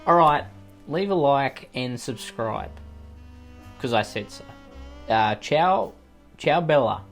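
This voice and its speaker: A man's voice: mid-range voice, lively intonation, slow, fairly bright tone. An Australian man reads online posts in an ordinary mid-range voice and keeps breaking off into sweary, sarcastic tangents. His talk rambles and circles back on itself as he riffs for laughs. A faint guitar plays behind him. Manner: profane, rambling, sarcastic asides. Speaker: YouTuber reading and reacting to online posts. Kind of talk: comedic commentary on read-out posts.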